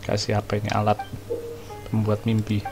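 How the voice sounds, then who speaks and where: A man's voice: fairly low pitch, completely flat delivery, fast talker. A man giving casual let's play commentary in short murmured reactions. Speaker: gaming streamer. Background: game music and dialogue.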